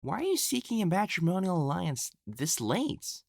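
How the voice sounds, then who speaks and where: A man's voice: sits high, huge pitch swings, moderate pace, vocal fry, dry recording, studio quality, exaggerated voice. A man acting in a scripted audio roleplay as an elegant, pompous, easily exasperated young matchmaker of ancient China, speaking in formal, old-fashioned phrases. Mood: astonished.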